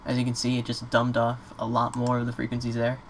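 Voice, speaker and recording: A man giving a casual, off-the-cuff software tutorial in an informal, slightly hesitant way. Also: mid-range voice, monotone, fast talker. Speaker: tutorial presenter. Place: indoors at a computer. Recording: clear background noise, keyboard clicks.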